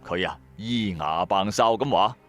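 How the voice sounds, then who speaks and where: A man's voice: on the low side, measured pace, natural voice. A man playing a bankrupt, hot-tempered trader who narrates his own story in colloquial, emphatic style for a radio drama. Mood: anxious, aggrieved. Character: bankrupt male electronics trader, hard-pressed, hot-tempered, streetwise. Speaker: first-person narrator and lead. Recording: background music under voice. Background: music.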